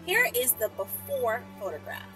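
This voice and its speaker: A woman's voice: on the high side, huge pitch swings, moderate pace, music in the background, low-quality recording. A woman reading the part of a government scientist who formally announces research findings in a storytime tale. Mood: formal, matter-of-fact.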